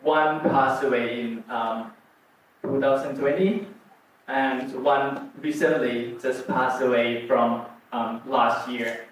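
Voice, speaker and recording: A man's voice: medium pitch, steady tone, slow pace, a little hiss or hum. A young man from Thailand, a native Thai speaker with a Thai accent, giving an academic presentation in a hesitant way, with many ums and restarts. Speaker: doctoral student presenter. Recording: roomy echo from far off.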